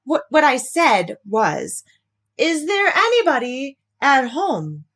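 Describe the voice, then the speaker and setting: A woman plays a friendly, naive toy bear from a children's storybook. He is puzzled and polite, a little greedy, with a high, swooping voice and an unhurried pace. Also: very animated, fairly bright tone, breathy edge, full character voice. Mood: curious calling. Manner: hums nonsense syllables, puzzled and polite.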